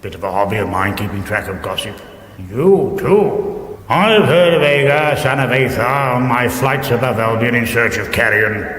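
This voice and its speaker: A man's voice: medium pitch, normal speed, fairly bright tone, natural voice. A man playing a smug, bored, gossipy dragon in a radio comedy fantasy, urbane and pompous with henpecked grumbling. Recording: echoey reverberant radio production, faint noise.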